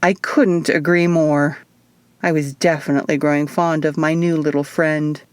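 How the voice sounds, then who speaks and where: Female audiobook narrator, fairly low voice. Measured, expressive first-person storytelling, dry recording. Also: clean tone, no room sound. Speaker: author reading own audiobook.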